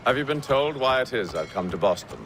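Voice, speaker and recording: A male actor playing a composed, guarded gentleman mentor in a video game, formal, clipped and authoritative. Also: mid-range voice, animated, brisk, music in the background, lightly acted. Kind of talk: video game cutscene acting.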